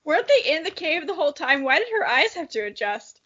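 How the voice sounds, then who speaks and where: High-voiced, playful young woman podcaster. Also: very animated, brisk, fairly bright tone, lo-fi sound. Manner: playful, joking. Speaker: podcast co-host. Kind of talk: reading a childhood story aloud, casual banter.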